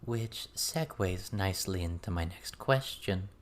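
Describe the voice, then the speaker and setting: Man as a calm, mysterious female spirit. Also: sits low. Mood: courteous, probing. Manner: slow, quiet, measured.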